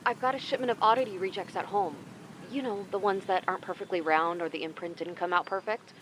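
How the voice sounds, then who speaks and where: Actress as curt female crime boss, mid-pitched, dry and disdainful, faint ambience behind. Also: steady tone, fast talker, fairly bright tone, a little hiss or hum, natural voice, rough recording. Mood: businesslike. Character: curt, menacing woman underworld dealer and boss. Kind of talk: audio drama acting.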